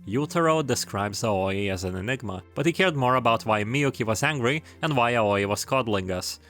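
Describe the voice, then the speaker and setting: A man's voice: medium pitch, lively intonation, normal speed, fairly bright tone. A man narrating in a casual, scripted video style, with wry, irreverent, slangy joking asides. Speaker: video essayist.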